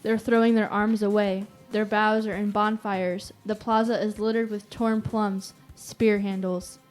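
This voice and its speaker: A woman's voice: mid-range voice, flat delivery, measured pace, natural voice. A teenage girl plays an urgent radio announcer in a verse drama. She declaims in short, grave phrases as an eyewitness to catastrophe, with music under her voice. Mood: hushed, grave. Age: teens. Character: urgent female radio announcer witnessing a city's fall. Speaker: student actor. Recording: music behind the voice.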